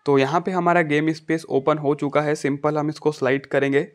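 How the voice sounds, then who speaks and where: Higher-pitched man giving a phone tutorial. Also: flat delivery, a little fast. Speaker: tech tutorial host. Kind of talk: casual step-by-step phone tutorial.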